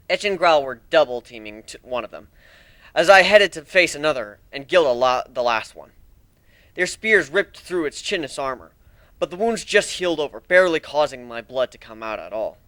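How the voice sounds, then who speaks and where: A man's voice: a little high, sharp tone, clear, resonant tone, dry recording. A man giving a dramatic first-person narration of a fantasy action story.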